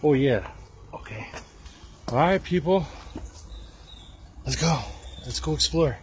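Slow-paced man exploring on camera. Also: a little high, expressive, a little hiss or hum. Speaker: host of an exploring video. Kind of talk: casual off-the-cuff exploring commentary. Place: on location at an abandoned house.

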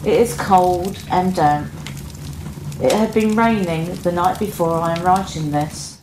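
A woman's voice: lowish voice, a little slow, natural voice. A woman playing a soldier's grown daughter, narrating a radio drama with sombre reflection on loss. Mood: wistful. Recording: echoey room, clearly noisy background.

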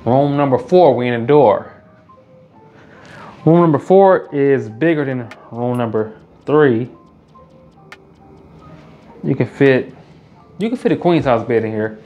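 A man's voice: medium pitch, slow pace, long pauses, narrow, tinny audio, soft background music. Hyped-up man touring an empty house. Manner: casual upbeat hype, repeats phrases. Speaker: realtor and house flipper. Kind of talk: walkthrough house tour vlog. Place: empty house, bare rooms indoors.

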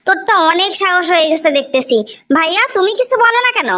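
A very high, piercing female voice with a Bangladeshi accent , acted by a woman, plays a young cartoon sister. She is brash, haughty and spiteful, always ready to scold. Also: expressive, fast, pushed and bright, exaggerated voice. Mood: outraged. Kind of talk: amateur cartoon story dubbing.

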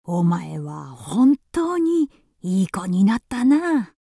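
Woman playing a slow, mellow old father. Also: mid-range voice, sing-song, vocal fry, no room sound, clean studio sound, heavily acted. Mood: fond. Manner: old-man speech, tender and doting. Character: kindly old father, an elderly woodcarver. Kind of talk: Japanese radio drama acting.

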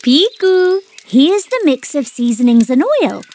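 A woman voicing a cheerful, gentle mother parrot in a children's cartoon, bubbly and encouraging. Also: sits high, animated, unhurried.

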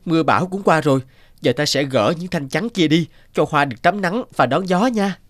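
A man voicing a gentle, lovesick young scholar in a cartoon folk tale, tender and earnest. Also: fairly high pitch, expressive, a little fast, over music, lightly acted.

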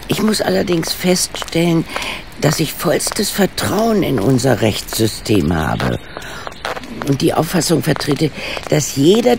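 Over clear background noise comes an opinionated older lady sleuth in an audio drama. Her gravelly voice swings in pitch as she makes formal, precise, impatient demands. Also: full character voice. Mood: sceptical, prim. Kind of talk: German audio drama acting.

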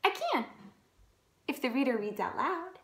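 Woman as a cheerful, high-voiced little cartoon pig. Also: sing-song, moderate pace. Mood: playful scheming. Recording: roomy echo of a bedroom.

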